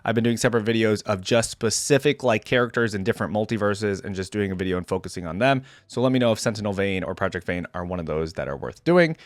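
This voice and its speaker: A man's voice: lowish voice, animated, quick pace, few pauses, no echo, studio quality. A man giving casual game reaction commentary in an informal, chatty way, thinking aloud as he goes. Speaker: reaction video creator.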